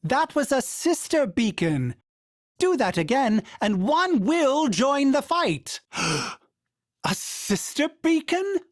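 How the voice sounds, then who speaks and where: A man's voice: very high-pitched, sing-song, slow pace, no room sound, clean studio sound, exaggerated voice. A man voicing a fussy, polite male ship AI in a video game, prim and formal and referring to itself in the third person. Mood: cautioning.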